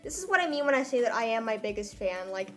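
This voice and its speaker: A woman's voice: on the high side, big pitch swings, fast, soft background music. A young woman chatting casually in a comedic vlog, with wry, self-mocking asides. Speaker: vlogger. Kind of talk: casual comedic vlog chat, reading own old writing.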